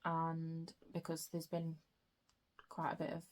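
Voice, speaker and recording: Soft, flat-voiced woman with a croaky throat. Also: sits low. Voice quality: soft, unhurried, with frequent "um" and "like" fillers. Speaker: book vlogger. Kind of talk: casual reading vlog chat.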